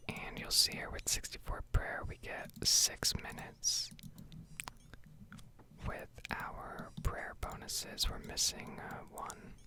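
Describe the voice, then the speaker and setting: A woman speaks in a hushed, breathy whisper close to the microphone. She hosts a gaming ASMR video, rambling along without hurry and thinking aloud as she comments on play. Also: slow pace.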